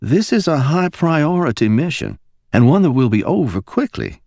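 A man voicing a poised woman security officer who speaks softly and persuasively in a silky Alabama drawl. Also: a little high, very animated, normal speed, no echo, studio quality, voice pushed up. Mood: silky, insistent. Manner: silky, soft-spoken.

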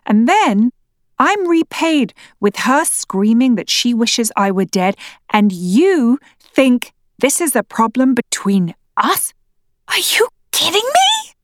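A woman voicing a worn-out, angry father and husband in a family argument, shouting and pleading. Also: on the high side, sing-song, a little slow, no echo, studio quality. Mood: furious. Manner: raised, pleading, exasperated. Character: angry exhausted middle-aged stepfather and husband.

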